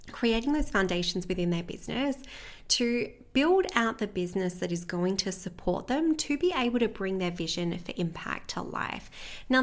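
Slightly croaky motivational woman podcaster. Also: mid-range voice. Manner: earnest, motivational, repeats phrases like "within your business" and "right?". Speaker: podcast host and business coach. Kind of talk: scripted podcast intro then casual monologue.

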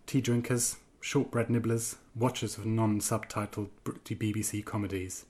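Man from Germany, somewhat low voice, halting interview answers, steady reading. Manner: hesitant, many ums and restarts when speaking freely. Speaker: guest writer. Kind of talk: interview answers and reading from his own piece.

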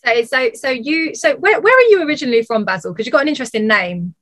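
A woman's voice: high voice, expressive, fast, fairly bright tone. A woman hosting an interview, asking friendly questions and often repeating words as she starts them. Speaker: interview host.

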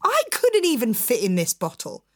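A woman's voice: a little high, huge pitch swings, normal speed, no room sound, studio quality, voice pushed up. A woman voicing a cheeky fisherman who teases and taunts in mock disbelief. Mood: teasing. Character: cheeky, scheming fisherman, a man.

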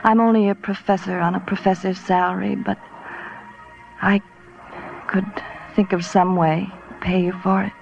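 A woman acts a sultry noir professor with creak in her voice. She is languid, teasing and insinuating, in the style of 1940s radio drama. The sound is muffled, with faint music. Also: mid-range voice, little pitch movement, normal speed, darkish tone, lightly acted. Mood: seductive, needling.

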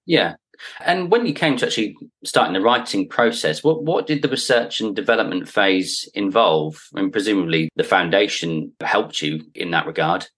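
A man's voice: low-pitched, lively intonation, moderate pace, fairly bright tone, slightly rough. A man hosting a podcast interview, asking questions in a conversational style.

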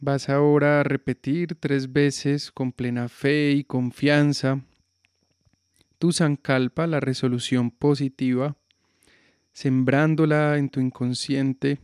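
A man guiding a yoga nidra relaxation with slow, calm, soothing instructions. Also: mid-range voice, smooth, no echo, studio quality. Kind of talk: guided relaxation meditation.

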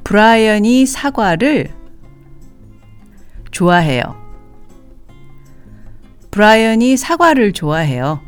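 A woman teaching a language in a scripted podcast lesson, saying model phrases slowly and clearly for listeners to repeat. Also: medium pitch, big pitch swings, faint music behind.

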